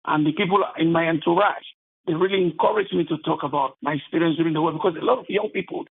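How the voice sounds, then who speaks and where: A man with a Cameroonian accent giving earnest interview answers as an author, haltingly, often adding "you know". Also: fairly high pitch, vocal fry, breathy edge. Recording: thin phone-like line.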